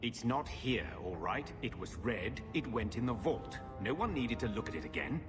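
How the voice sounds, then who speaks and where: An actor playing a gruff, evasive middle-aged uncle in a fantasy game, bitter and dismissive in manner. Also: male voice, mid-range voice, big pitch swings, normal speed, lightly acted. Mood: defensive, irritable. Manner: surly, dismissive. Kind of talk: fantasy video game acting. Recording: long reverb, loud music behind.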